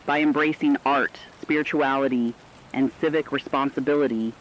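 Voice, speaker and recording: A man playing an earnest radio correspondent, reading scripted news in a formal, measured way. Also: medium pitch, fairly even intonation, a little hiss or hum, natural voice. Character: earnest correspondent on an end-of-the-world radio broadcast. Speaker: co-anchor in a fictional radio broadcast. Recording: dull lo-fi radio sound.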